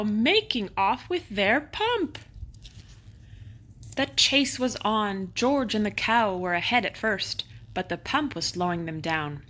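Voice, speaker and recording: A woman reads a children's story aloud as a bedtime narrator, expressively, with dramatic emphasis and drawn-out key words. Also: a little high, a bit bright. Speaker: storybook reader.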